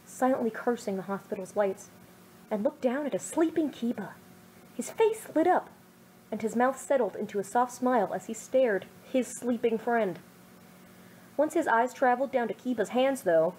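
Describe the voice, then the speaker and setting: A young woman reads a story aloud in a gentle, earnest way, her voice sitting a little high. The reading is homemade, with a faint hiss of background noise behind her. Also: lively intonation, moderate pace, poor audio. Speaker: fan fiction reader. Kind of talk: amateur audiobook reading.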